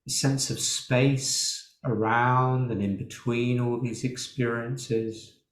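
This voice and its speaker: A man leading a guided meditation as a teacher, speaking in an unhurried way with long pauses. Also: medium pitch, steady tone. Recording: roomy, echoing sound.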